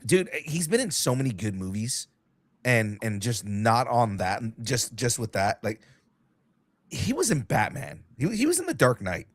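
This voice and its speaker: A young man hosting a casual podcast, excitable and swearing freely, with a light cold and a slight cough. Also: medium pitch, measured pace, dry recording. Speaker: podcast host.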